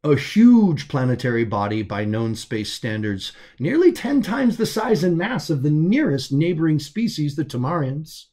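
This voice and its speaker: A man's voice: on the high side, sing-song, moderate pace, few pauses, warm tone. Man narrating a science fiction story. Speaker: author reading own story.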